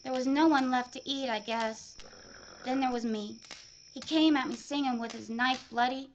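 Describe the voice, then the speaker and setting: A woman voicing a shaken frontier survivor, blinded and haunted, speaking in a high, halting voice. The audio drama sound is dull and lo-fi, with faint hiss underneath. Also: fairly even intonation, lightly acted.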